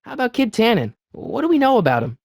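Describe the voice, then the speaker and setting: A man's voice: extremely high pitch, huge pitch swings, vocal fry, a little mellow, breathy edge, no echo, clean studio sound, exaggerated voice. A young man with an American accent voicing an earnest, anxious teenage boy in a video game, eager to persuade and often hesitant. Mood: curious, casual. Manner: hesitant, stammering, eager, fast-talking persuader. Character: earnest, anxious teenage boy hero of a time-travel adventure. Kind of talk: video game character dialogue.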